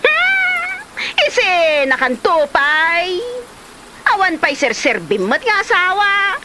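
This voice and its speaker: A woman's voice: extremely high pitch, big pitch swings, takes it slowly, sharp tone, slight background noise, full character voice. A woman playing an aggrieved young mother and wife in a comedy drama, with a Filipino accent and a dramatic, exclamatory delivery. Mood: scolding, indignant.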